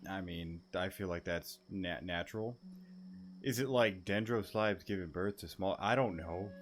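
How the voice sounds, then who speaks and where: A man's voice: low voice, a little slow, slight background noise. A young man casually commenting over a video game with sarcastic, crude asides. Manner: sarcastic, crude, offhand remarks. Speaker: gaming streamer.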